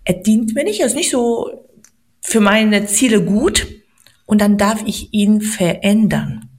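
A middle-aged woman from Poland, a guest on a podcast, talking in an earnest, encouraging way and slowing down to stress her points. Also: mid-range voice, darkish tone. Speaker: podcast guest, money coach. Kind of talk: motivational podcast talk.

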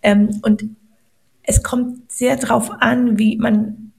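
A middle-aged woman with a gravelly edge to her voice talks about money beliefs on a podcast. She speaks earnestly and warmly encourages the listener, and she slows right down on the words that matter. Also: on the high side, little pitch movement, rough recording. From Poland. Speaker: podcast guest, money coach. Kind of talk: motivational podcast talk.

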